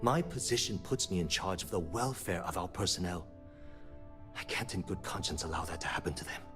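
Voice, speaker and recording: Low-pitched male frustrated, principled company manager for sci-fi game dialogue, earnest and articulate, music underneath. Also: lively intonation, fairly quick. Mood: worried, exasperated. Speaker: video game character.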